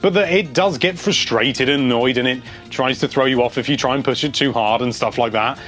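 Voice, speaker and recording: A man's voice: mid-range voice, a little fast, fairly bright tone, faint music behind. A man hosting a casual video, reading out viewer comments and chatting about them in a rambling, enthusiastic way.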